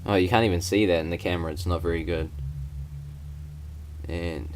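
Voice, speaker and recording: Low, flat-voiced young man. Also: normal speed, slight background noise. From New Zealand. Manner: plain, hesitant, many fillers. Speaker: vlogger. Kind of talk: casual unboxing vlog.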